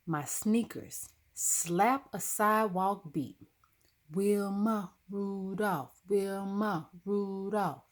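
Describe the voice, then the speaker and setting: A woman narrating a children's story expressively, with dramatic, deliberate phrasing. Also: medium pitch. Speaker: storybook reader.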